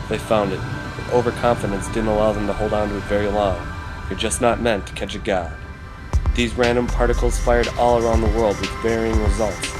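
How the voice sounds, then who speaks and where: A man's voice: lowish voice, lightly acted. A man playing a gloomy young man who narrates his own story in a motion comic. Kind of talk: scripted first-person motion comic narration.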